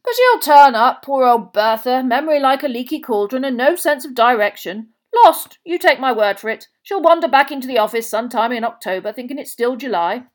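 A woman's voice: high voice, big pitch swings, moderate pace, few pauses, voice pushed up. A woman voicing a jovial, boisterous male wizard official with a hearty, carefree, chummy manner in an audiobook. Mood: comfortable, carefree.

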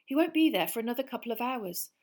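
A woman's voice: a little high, lively intonation, fast, darkish tone, pitched above the performer's own voice. A woman doing Hermione, an anxious clever girl. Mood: nervous.

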